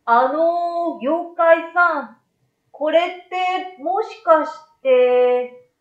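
A woman's voice: extremely high pitch, drawn-out words, echoey room, dull audio, voice pushed up, heavily acted. A woman voicing a wide-eyed, friendly young boy in a storybook, with childlike casual speech. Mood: curious. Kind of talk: storybook read-aloud.

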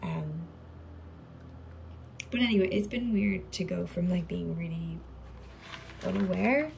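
A thirty-year-old woman talking in a relaxed, rambling voice-over, full of "like" and "um", soft-spoken. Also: lowish voice, slow pace, gravelly, slight background noise, poor audio. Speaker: journal video creator. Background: background music.

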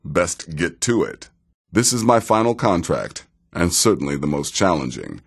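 A man playing a wry, sardonic middle-aged assassin in a fantasy video game, speaking in a dry, measured, sarcastic way. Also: low-pitched, expressive, breathy edge, full character voice. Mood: wry, advisory. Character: wry, sardonic veteran male assassin handing out contracts.

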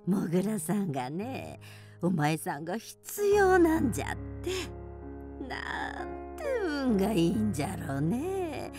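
A woman playing a bossy, scolding old grandmother field mouse in a radio drama, with drawn-out, folksy elderly speech. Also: medium pitch, wildly swooping pitch, over music. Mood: pleased, coaxing.

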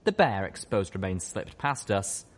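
A man's voice: low voice, very animated, measured pace. A man reading a dramatic scripted story as an audiobook narrator. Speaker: audiobook reader.

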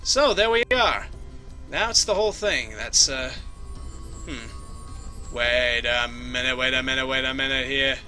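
Goofy, bright-voiced man playing a game. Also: medium pitch, measured pace, pauses often. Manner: goofy, jokey, talks to the game characters. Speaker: let's play host. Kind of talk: casual gameplay commentary. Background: game music.

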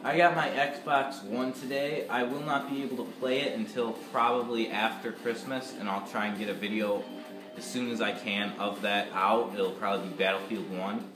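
A man's voice: on the low side, fairly even intonation, moderate pace. A teenage boy recovering from a cold, stuffy and sore-throated, chatting casually with many ums while playing a shooter. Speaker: gaming YouTuber. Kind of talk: casual gameplay commentary. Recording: echoey room with loud game music. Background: loud game music and gunfire.

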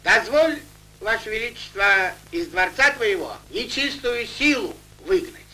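An actor playing a brave, hearty retired Russian soldier in a 1940s cartoon, with a plucky folksy delivery. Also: male voice, high voice, big pitch swings, a little slow, sharp tone, slight background noise, full character voice, rough recording. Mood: bold, proud. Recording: old 1940s film soundtrack with music.

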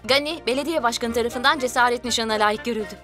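A woman's voice: a little high, quick pace, lightly acted. A woman giving scripted narration for a children's cartoon. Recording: music behind the voice.

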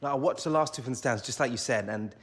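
A man's voice: medium pitch, quick pace, breathy edge, close to an everyday voice. A young man with an English accent playing a modest, earnest footballer in a game cutscene. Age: young adult. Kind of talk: video game cutscene acting.

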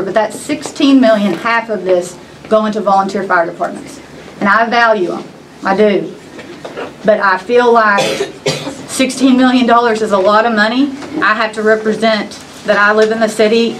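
A woman's voice: mid-range voice, fairly even intonation, moderate pace, a little hiss or hum, poor audio. A young woman, a local elected official, making an earnest and hesitant proposal at a county meeting. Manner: earnest, hesitant, many restarts. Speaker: justice of the peace. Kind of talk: public meeting remarks. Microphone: table microphone.

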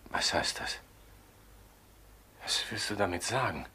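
An anxious doctor in a crime radio play. His lowish voice moves widely in pitch and sounds echoey and distant, under heavy noise. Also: animated, a little slow, pushed and bright, exaggerated voice. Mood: shocked. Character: shocked, anxious doctor questioning his brother. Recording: distant, echoey, very noisy old radio recording.

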